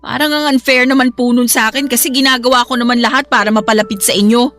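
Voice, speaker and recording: Woman acting a high-voiced, defensive young Filipino daughter-in-law. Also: steady tone, fast, a bit bright, soft background music, close to an everyday voice. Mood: hurt, protesting. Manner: polite but protesting, plaintive. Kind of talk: radio drama acting.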